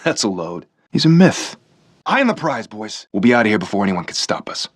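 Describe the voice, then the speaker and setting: Gruff, mocking henchman. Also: on the low side, very animated, brisk, dry recording, clean studio sound. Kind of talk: video game voice acting.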